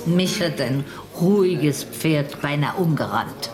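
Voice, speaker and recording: A woman's voice: deep voice, lively intonation, takes it slowly, crowd noise. An actress playing a sceptical older American woman tourist in a radio drama, with a dry, pointed manner. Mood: wry.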